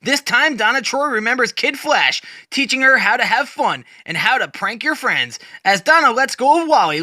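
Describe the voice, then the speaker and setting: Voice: medium pitch, sharp tone, dry recording, studio quality. A person giving a dramatic, scripted narration as a storyteller, retelling comic book scenes in the present tense.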